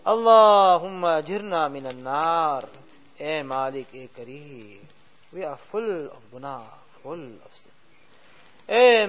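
A man leading an emotional supplication as imam, pleading with rising cries that fall to soft endings. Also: a little high. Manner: mixes English with Urdu and Arabic words. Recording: dull lo-fi recording.

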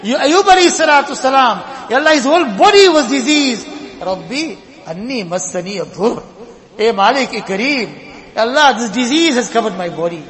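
Imam type, very high male voice, impassioned du'a, voice falls soft after each plea, long hall reverb. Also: lively intonation, a little fast. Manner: pleading, rises then drops low on each refrain. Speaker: imam leading supplication. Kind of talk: emotional congregational du'a. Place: mosque.

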